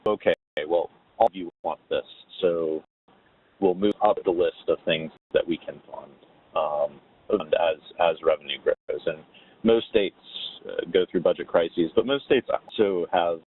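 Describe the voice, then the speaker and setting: A man explaining policy on a webinar in a measured, halting and thoughtful way, heard over a phone-like connection. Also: low voice, husky. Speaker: webinar panelist on tax policy. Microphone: phone or web conference call. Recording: narrow, tinny phone-line sound.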